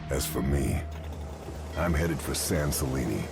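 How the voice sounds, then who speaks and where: An actor playing a grim, determined Allied sniper agent, narrating a game's mission briefing in a terse, grave, deliberate way. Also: male voice, a bass voice, creaky, noisy background, heavily acted. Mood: grave, measured. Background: music.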